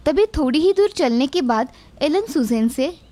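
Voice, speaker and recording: High-voiced woman narrating a story. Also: lively intonation, moderate pace, mellow.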